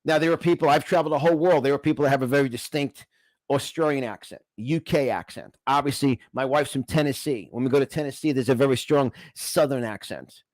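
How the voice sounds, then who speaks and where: A man's voice: mid-range voice, quick pace, no room sound. A man with a New York accent giving an emphatic, exhortative motivational talk, repeating phrases to drive them home. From New York, United States. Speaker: motivational speaker and coach.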